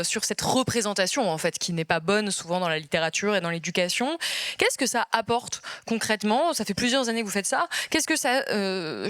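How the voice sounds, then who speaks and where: A woman's voice: mid-range voice, a bit bright, dry recording, studio quality. A woman moderating a panel, speaking spontaneously and conversationally as she addresses her guests.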